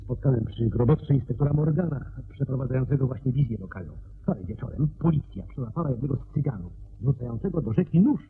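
A brisk male sidekick in a radio detective play. His creaky, animated voice lays out facts eagerly and with confidence. The sound is thin and band-limited, like an old broadcast. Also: mid-range voice, phone-quality sound, a little hiss or hum. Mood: eager, reporting. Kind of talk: Polish radio play acting.

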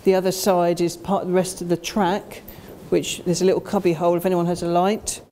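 A middle-aged woman giving an informal guided tour, explaining as she walks, with slightly halting phrasing. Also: fairly low pitch, little pitch movement, dark tone, slight background noise. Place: indoors in disused underground station, tunnels and train carriage.

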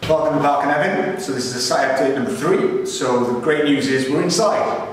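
A young man gives a brisk, upbeat sales walkthrough, talking in short clipped phrases. His voice bounces around bare, echoey rooms. Heavy building-site noise runs loud underneath, picked up by a camera mic. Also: medium pitch. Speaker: estate agent. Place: unfinished timber-frame house and outdoor building site.

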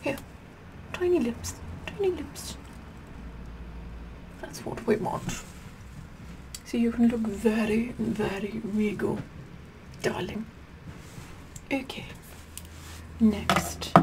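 Woman doing a snooty bossy queen, asmr half whisper, really slow, bit of creak, noisy background. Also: a little high. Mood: regal, bossy. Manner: pompous, deliberate, repeats words softly. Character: haughty, sassy, bossy English queen, vain and regal. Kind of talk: ASMR roleplay makeup tutorial, whispered and soft-spoken.

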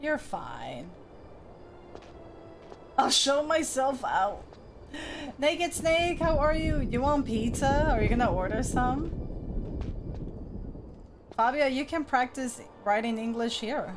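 A woman's voice: sits high, animated, slow, long pauses, music in the background. A young woman streaming a game, chatting to the character on screen and to her viewers from a small room. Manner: chatty, talks to the game character and reads chat aloud. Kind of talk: live game commentary. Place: small room with colorful lights behind.

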